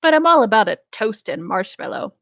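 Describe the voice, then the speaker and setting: A woman's voice: a little high, very animated, fairly quick, fairly bright tone, no echo, dull audio. A woman casually reading a first-person story aloud and chatting between lines. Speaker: co-reader of an internet story.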